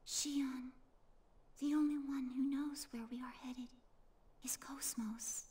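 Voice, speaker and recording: A woman playing a gentle, sorrowful otherworldly girl in a game cutscene, speaking in a soft, breathy, measured voice. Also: high-pitched, fairly even intonation. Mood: solemn, sorrowful. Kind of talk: dramatic video game cutscene acting.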